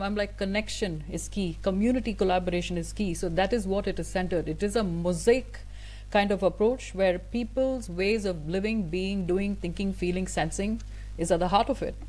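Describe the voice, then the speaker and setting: A woman of middle pitch whose first language is Tamil speaks as an interview guest. She talks earnestly and thoughtfully, often pausing on fillers and restarting her sentences. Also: a little mellow, poor audio. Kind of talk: conversational interview answer.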